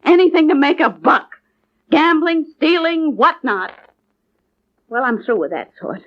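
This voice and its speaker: An actress playing a defensive, pleading young widow in a 1950s radio crime drama, emphatic and indignant. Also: female voice, high voice, animated, unhurried. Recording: muffled old radio recording.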